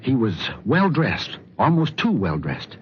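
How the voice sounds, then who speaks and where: Tense, expressive 1940s radio thriller hero. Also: male voice, mid-range voice, unhurried, background noise. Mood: tense, intent. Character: nervous, curious gentleman narrating his suspenseful stalking adventure. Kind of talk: 1940s radio thriller acting, first-person narration. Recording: dull 1940s radio recording with music.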